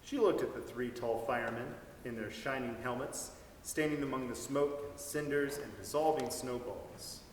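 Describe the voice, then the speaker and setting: A man's voice: mid-range voice, normal speed, slight background noise. Man reading a story aloud in an echoey hall. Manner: lively, expressive storytelling. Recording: echoey hall, mic at a distance.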